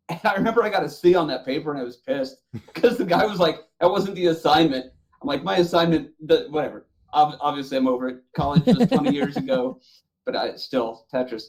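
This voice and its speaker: A man with a slightly high voice talks casually as a podcast co-host. He tells stories in a joking, loose way and swears freely. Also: a little mellow. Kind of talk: casual group chat about movies and games.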